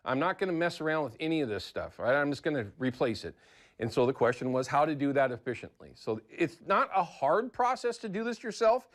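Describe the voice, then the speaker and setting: An American man hosting a casual how-to TV segment in a chatty, folksy, conversational way. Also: fairly high pitch. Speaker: TV show host.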